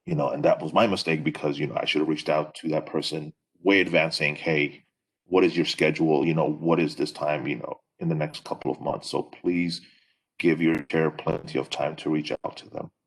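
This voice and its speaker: Deep husky man, non-native english, measured panel advice on a video call. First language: not English. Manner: measured, with many fillers. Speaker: faculty panelist.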